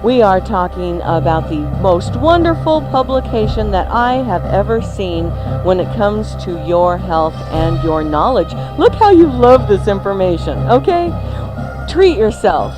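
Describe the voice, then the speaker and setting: Slow, campy male radio host over music. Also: sits high, very animated. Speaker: radio cooking show host. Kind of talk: playful, theatrical radio banter. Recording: music mixed under the voice.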